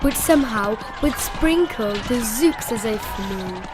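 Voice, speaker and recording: A woman's voice: on the high side, animated, slow pace. A British woman narrating a children's storybook aloud in a dramatic, theatrical storytelling manner. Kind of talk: expressive children's read-aloud. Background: music.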